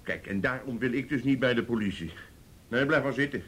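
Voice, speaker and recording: A man acting in a radio play as a bigoted, belligerent younger brother, speaking in a halting, goading way. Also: medium pitch, expressive, fairly bright tone, slightly rough. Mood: heated, bitter. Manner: halting, goading, full of tag questions. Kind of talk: naturalistic Dutch radio play dialogue.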